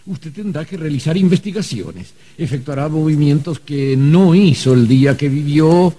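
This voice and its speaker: An actor playing an exasperated, bureaucratic archangel in a radio drama, speaking formally and deliberately. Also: male voice, on the high side, lively intonation, mellow, husky. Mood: stern, exasperated. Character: officious, exasperated male archangel keeping records of the dead. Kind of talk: old-fashioned radio drama acting.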